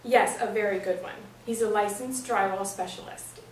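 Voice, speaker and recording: A woman's voice: medium pitch, fairly even intonation, moderate pace, lightly acted. An actress in a staged play reading playing a dippy but well-meaning woman in her late twenties, breezy, guileless and flirtatious. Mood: cheerfully defensive. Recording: echoey stage, distant mic, noisy room.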